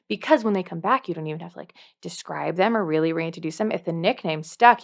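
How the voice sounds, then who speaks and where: A woman hosts a scripted how-to video in a mid-pitched voice. She talks straight to the viewer as a dungeon master, leaning on key words playfully and slipping in dry asides. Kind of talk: scripted tutorial to camera.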